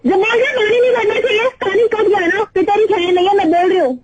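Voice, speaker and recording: A woman's voice: very high-pitched, steady tone, fast, lo-fi sound, very noisy. A young woman speaking emotionally in a phone conversation. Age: young adult. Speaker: radio prank call recipient. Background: background music.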